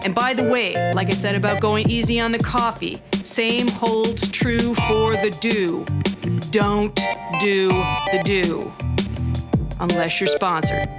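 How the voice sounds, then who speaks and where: A woman's voice: mid-range voice, fairly even intonation, takes it slowly, voice pushed up, lightly acted. Woman playing a bossy American skater coach over guitar. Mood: scolding, goading. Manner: slangy, hectoring pep talk. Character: slangy, bossy skateboarder dude coaching a would-be pro. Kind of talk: scripted monologue, microfiction. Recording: loud guitar music under the voice. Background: guitar music.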